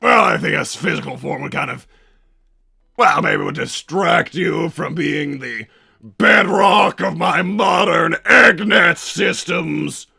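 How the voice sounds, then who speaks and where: Gravelly, high-strung cartoon villain. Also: male voice, expressive, slow pace, pushed and bright, no echo, studio quality, exaggerated voice. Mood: patient, fatherly. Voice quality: gravelly, raspy. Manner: blustering, bombastic. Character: pompous, hot-tempered cartoon villain doctor, doting strict papa. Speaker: livestream host. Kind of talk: in-character livestream chat.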